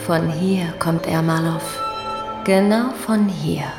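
A woman's voice: on the low side, steady tone, slow pace, over music. A woman playing a bitter, scornful femme fatale in a hardboiled radio drama. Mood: intense. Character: dark, bitter, scornful woman confronting an investigator. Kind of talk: noir radio drama acting. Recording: echoey room.

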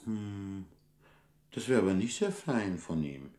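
An actor playing a cocky, affectionate pickpocket in a 1960s German radio drama, talking in nonchalant slang. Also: male voice, on the low side, big pitch swings, slow, warm tone. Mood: breezy. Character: cocky, easygoing gentleman pickpocket and gangster.

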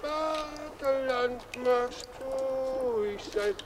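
A boozy would-be poet in a radio play. He rambles in halting, hugely drawn-out phrases, half singing his verse. Noise is clearly audible behind him. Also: extremely high pitch, full character voice. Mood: boisterous, tipsy. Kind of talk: German radio play acting.

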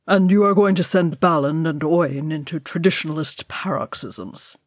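A woman's voice: low-pitched, normal speed, dry recording, studio quality. A woman voicing a weary male dwarf leader who sighs and murmurs in a fantasy story. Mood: weary reproach. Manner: sighing, murmured.

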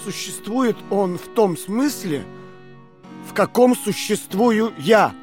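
An actor playing a weakened but stubborn prisoner, halting and earnest, in an audio drama. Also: male voice, high-pitched, lively intonation, darkish tone, soft background music. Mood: anxious, probing. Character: weakened male prisoner, questioning, stubbornly clinging to reason. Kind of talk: dramatic audio play acting.

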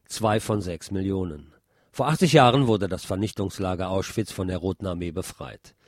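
A man's voice: deep voice, normal speed, a little mellow, no room sound, clean studio sound. A man presenting a programme, reading written articles and reviews aloud. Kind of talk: read-aloud newspaper article.

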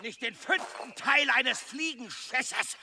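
An actor in a German audio drama playing a bitter heathen village blacksmith, loudly haranguing with scorn. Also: male voice, very high voice, takes it slowly, gravelly, noisy background, exaggerated voice. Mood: scornful defiance.

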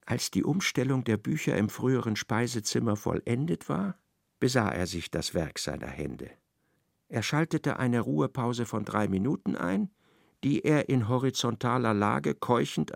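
A man narrating a literary radio play in an expressive, measured storytelling manner. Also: mid-range voice, vocal fry, no room sound, studio quality.

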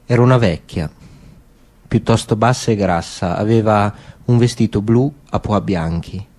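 A man narrating a literary story for radio in a calm, measured way. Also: on the low side.

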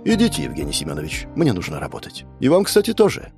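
A woman's voice: rumbling low, wildly swooping pitch, fast, music in the background, voice pushed down, heavily acted. A man voicing a stern older woman party official in an audiobook, curt and commanding. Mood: dismissive. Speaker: party organizer.

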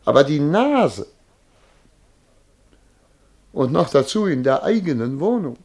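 Radio drama: vain middle-aged major, gravelly, pompous, aggrieved, theatrical delivery. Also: male voice, a little high, very animated, takes it slowly, a little mellow, full character voice. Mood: despairing, lamenting. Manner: pompous, formal, plaintive and indignant. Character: vain, distraught major who has lost his nose.

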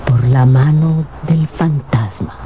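A woman narrator telling a dramatic scripted story for radio in an expressive, measured way. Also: extremely low pitch. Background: music.

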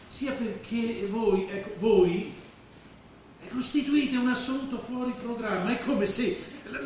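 A man's voice: high voice, a bit bright. A man acting on stage in an Italian drama, playing a celebrated writer who is urbane, condescending and declamatory. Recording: very echoey hall, faint background noise.

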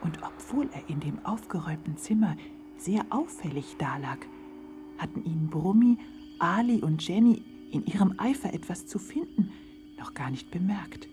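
A woman with a husky, breathy voice narrating a children's story in a dramatic, suspenseful way. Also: lowish voice, expressive, normal speed, dark tone, faint music behind.

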